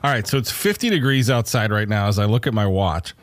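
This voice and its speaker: A man's voice: on the low side, fast talker, no room sound. A man hosting a local sports talk show, chatting casually, laughing often and reading out sponsors. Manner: relaxed, laughs often, reads sponsor list. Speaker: sports talk radio host.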